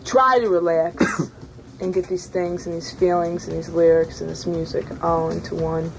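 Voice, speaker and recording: Band singer lady, gravelly low voice, casual chat on old 80s radio w music behind. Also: steady tone, moderate pace, low-quality recording. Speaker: band singer being interviewed.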